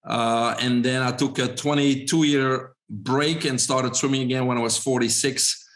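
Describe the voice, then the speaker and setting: A man in his forties from Belgium with a Belgian accent, a podcast guest who tells his story in a relaxed way with frequent fillers. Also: medium pitch, moderate pace. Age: 40s. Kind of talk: podcast interview.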